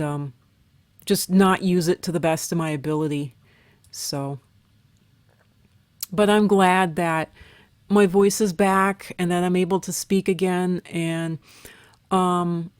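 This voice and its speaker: Soft-spoken woman with a rough, recovering voice. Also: fairly low pitch, no echo. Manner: rambling, hesitant, with fillers and restarts. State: voice recovering, still rough and weak. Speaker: artist vlogger. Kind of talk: casual voiceover narration.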